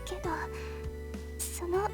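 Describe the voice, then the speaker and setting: A woman playing a shy, soft-spoken, polite teenage schoolgirl in a Japanese visual novel. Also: unhurried, over music, lightly acted. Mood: worried, hesitant.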